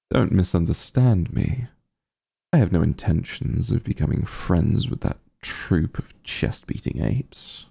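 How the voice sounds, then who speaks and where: An arrogant evil sorcerer king , acted by a man for an ASMR roleplay. The voice is low and very dark, with a husky grain. He speaks in a pompous, menacing way, condescending and formal. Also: heavily acted. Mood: scornful, scheming.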